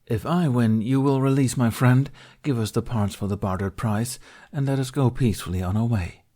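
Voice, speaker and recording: A man voicing a calm, cunning knight who bargains in a measured, polite, wry way in a story reading. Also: mid-range voice, voice pushed up, natural voice. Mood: calm challenge.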